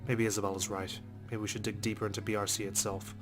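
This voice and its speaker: Fast, flat, low-voiced male narrator. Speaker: let's play host. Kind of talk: narration read aloud from a visual novel. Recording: music under the voice. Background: game music.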